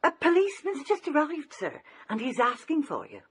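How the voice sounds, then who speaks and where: An anxious, deferential housekeeper. Her voice is rather high and swings about in pitch, in a radio drama with faint noise behind. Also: big pitch swings, normal speed. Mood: worried.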